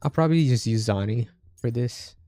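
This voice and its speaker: A man's voice: mid-range voice, normal speed, warm tone, no room sound. Casual man streaming a game. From Philippines. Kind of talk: casual live gameplay commentary. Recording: faint game music behind.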